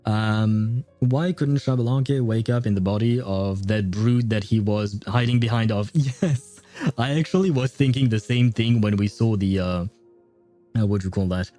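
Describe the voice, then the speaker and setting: Man casually commentating a game stream, soft music behind. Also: on the low side, moderate pace. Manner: hesitant, searching for words. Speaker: game livestreamer.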